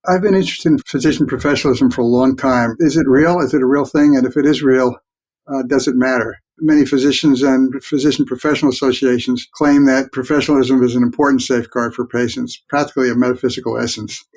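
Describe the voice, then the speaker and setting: An older man, a physician and researcher, explaining his work as an interview guest in a measured way. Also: mid-range voice, fairly even intonation, a little mellow.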